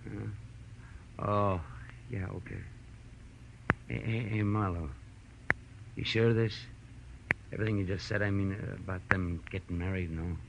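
A man's voice: low-pitched, fairly even intonation, unhurried, gravelly, long pauses, highs cut off, heavy background noise. A man playing a nervous, gullible hanger-on of crooks in a 1940s radio crime drama, hesitant and easily startled. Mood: doubtful. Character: jittery, gullible male small-time hanger-on of crooks.

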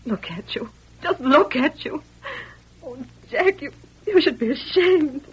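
An actress playing an anxious young wife who pleads and stammers, in an old-time radio drama. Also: female voice, high voice, expressive, brisk, fairly bright tone, rough recording. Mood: pleading. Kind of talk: 1930s radio drama acting.

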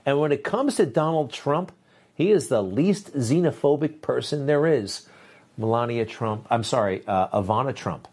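A man's voice: medium pitch, lively intonation, normal speed, darkish tone, breathy edge. A man hosting a news show, giving opinionated commentary with sarcastic, dismissive asides.

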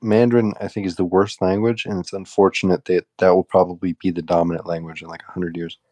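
A man's voice: low-pitched, little pitch movement, fast talker, warm tone, no room sound. A young man co-hosting a podcast, chatting casually with dry, offhand, blunt opinions. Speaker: podcast co-host. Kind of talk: casual chat.

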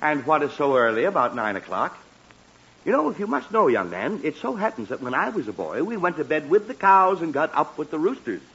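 A man's voice: a little high, sing-song, brisk, fairly bright tone, husky, exaggerated voice. An actor playing a flustered, easily exasperated father in a 1940s radio comedy, blustering and stammering, heard through a muffled old broadcast recording.

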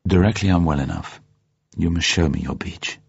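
A man voicing a wry, wounded man in an audiobook, gruff yet sentimental, with clipped, restrained delivery. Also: deep voice, moderate pace, lo-fi sound, full character voice. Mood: tender, apologetic.

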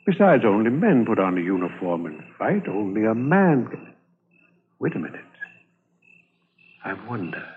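A man playing a paternal, persuasive army doctor with a measured, patronizing manner, in old-time radio drama. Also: on the low side, sing-song, breathy edge. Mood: reasoning. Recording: dull vintage radio recording, slight hiss.

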